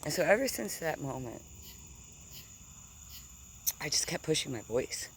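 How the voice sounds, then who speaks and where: A deep-voiced woman in her thirties telling a rambling, digressive story while walking outdoors, swearing casually and sometimes dropping to a whisper. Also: big pitch swings, unhurried, a little hiss or hum. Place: outdoors on grass at night with insects.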